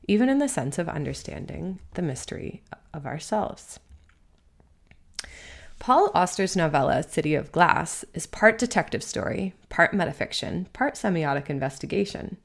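Low-pitched, creaky-voiced woman reading an essay. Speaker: host reading their own essay. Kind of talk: scripted narration of a literary essay.

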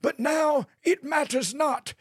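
Power-mad villain for an audio drama, really high voice, slow grand declaiming. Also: male voice, no echo, studio quality. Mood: triumphant, maniacal. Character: megalomaniac male villain tycoon turned self-declared god. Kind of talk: theatrical audio drama acting.

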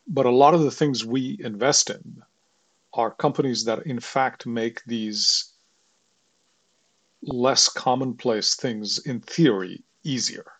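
A man's voice: medium pitch, slow, a bit bright, husky, no echo, poor audio. A man hosting an interview, speaking conversationally and discursively, with asides leading into his questions. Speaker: podcast host and investor.

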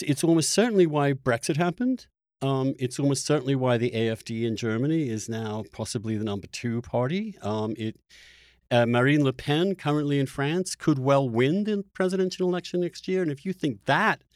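A man with a medium-pitched, very lively voice hosts an interview in a dry, close recording. He is opinionated and emphatic, pressing his argument through pointed questions. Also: dry recording. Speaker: podcast host.

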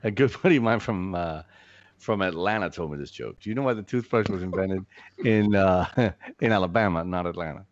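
A man's voice: low voice, fairly quick, dry recording. A man, a filmmaker guest, chatting animatedly on a podcast, swearing and joking. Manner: animated, swears, jokes, gets choked up. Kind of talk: casual podcast chat. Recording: dull lo-fi, dry.

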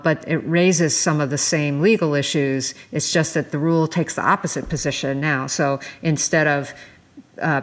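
An American woman co-hosting a podcast, explaining environmental policy in conversation. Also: deep voice. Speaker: podcast co-host.

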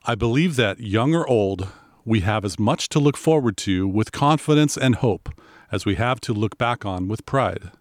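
A man with a baritone voice co-hosting a podcast in casual, rambling chat with fillers and restarts. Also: animated, normal speed, dry recording, studio quality. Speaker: podcast co-host.

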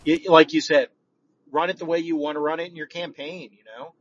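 A man's voice: a little high, little pitch movement, fairly quick. A man leaving a casual voicemail as a podcast listener, speaking in a frank, conversational way. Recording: dull, noisy, distant voicemail recording.

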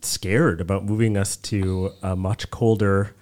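A man's voice: deep voice, flat delivery, measured pace, darkish tone. A man, chatting casually and reflectively on a podcast, often circling back as he phrases his thoughts. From Canada. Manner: reflective, rambling, hesitant phrasing. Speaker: podcast host, nonprofit executive director. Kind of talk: casual conversational podcast chat.

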